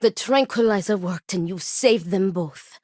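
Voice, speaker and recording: A woman's voice: medium pitch, unhurried, a little mellow, no echo, studio quality, lightly acted. Woman playing a stern, formal, dutiful game character. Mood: grave, earnest. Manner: formal, precise, clipped phrasing without contractions. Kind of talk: scripted video game character dialogue.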